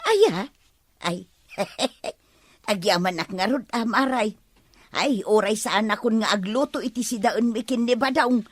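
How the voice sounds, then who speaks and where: A woman with an Ilocano accent playing a lively, gossipy neighbor in a radio comedy drama. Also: on the high side, measured pace, breathy edge, dry recording. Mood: surprised, pleased.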